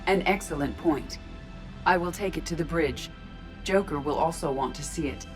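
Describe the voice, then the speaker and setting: A woman plays an artificial intelligence speaking through a female robot body in a video game. The voice is low and controlled, with precise, formal, emotionless phrasing. Faint music plays behind the words. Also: moderate pace, close to an everyday voice. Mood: calm, analytical.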